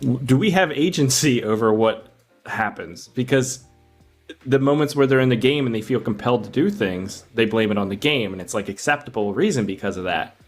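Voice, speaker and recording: A man's voice: medium pitch, brisk. Man casually musing over a film, faint music. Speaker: podcast co-host.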